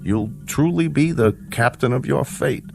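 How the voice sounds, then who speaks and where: An actor playing a measured, grave older scientist in a radio drama, speaking precisely and formally with a fatherly authority. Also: medium pitch, vocal fry, over music. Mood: businesslike. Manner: precise, deliberate, formal, explanatory. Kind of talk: radio drama acting, deliberate dialogue.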